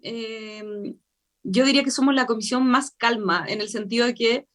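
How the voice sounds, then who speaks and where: A Chilean woman, a convention member, explaining her work fluently in a radio interview, with frequent filler pauses. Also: a little high, a bit bright. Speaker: constitutional convention member, guest.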